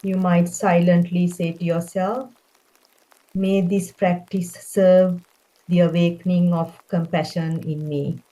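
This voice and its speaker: A woman leading a guided meditation, speaking in a gentle, calming way with unhurried phrasing. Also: fairly low pitch, low-quality recording.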